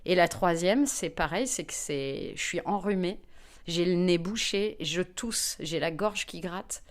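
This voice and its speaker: A woman comedian, a guest in an interview, telling lively personal anecdotes with frequent fillers. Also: on the low side, measured pace. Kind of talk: anecdotal storytelling in conversation.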